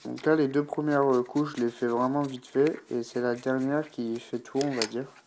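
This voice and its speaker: Man with a cold, nasal and stuffed up, gravelly voice, thinking aloud, faint hiss behind. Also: medium pitch, steady tone, darkish tone. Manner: unhurried, thinking aloud with many fillers. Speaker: miniature painting hobbyist. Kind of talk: talking through a painting tutorial.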